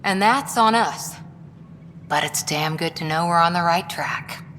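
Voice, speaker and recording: A woman's voice: lowish voice, measured pace, fairly bright tone. A woman playing a frank, hopeful space station colleague in a video game, talking informally. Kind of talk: video game dialogue acting. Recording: reverberant hall with background noise.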